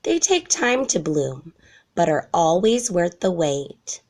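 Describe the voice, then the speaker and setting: A woman's voice: on the low side, very animated, takes it slowly, a little mellow, exaggerated voice. A woman voicing a tender, patient mother elephant in a storybook, soothing and reassuring.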